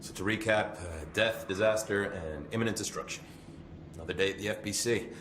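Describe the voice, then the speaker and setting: A man's voice: lowish voice, fairly quick, echoey room, background noise, lightly acted. An actor playing a weary, sardonic man who jokes dryly about disaster in a video game. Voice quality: dry sardonic humor, swearing. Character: wry, weary man, sardonic office survivor.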